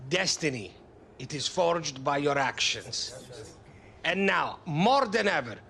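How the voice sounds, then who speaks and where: A man's voice: on the high side, animated, takes it slowly, vocal fry, bright, forceful tone, slight background noise, heavily acted. A man playing an earnest, impassioned football manager who speaks in formal, eloquent phrases in a video game. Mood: stirring. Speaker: football coach. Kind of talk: motivational speech in game cutscenes.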